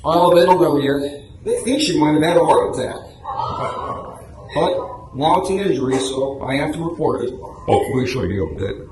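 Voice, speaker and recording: A man's voice: on the high side, animated, moderate pace, long pauses, lightly acted. A man acting a patient, apologetic but unbending police patrolman in an amateur radio drama reading. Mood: grave, apologetic. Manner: patient, matter-of-fact explaining, apologetic. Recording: echoey room, clear background noise.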